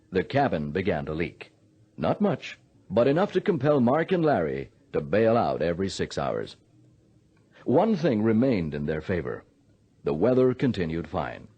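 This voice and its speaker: An actor playing an earnest air control operator, delivering dramatic radio narration. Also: male voice, deep voice, darkish tone, slightly rough, muffled recording, full character voice. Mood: solemn. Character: earnest male air control operator recounting a sea rescue.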